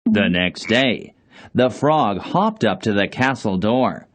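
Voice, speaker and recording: A man's voice: lowish voice, expressive, takes it slowly, slight background noise. A man reading scripted fairy-tale narration for children as storyteller.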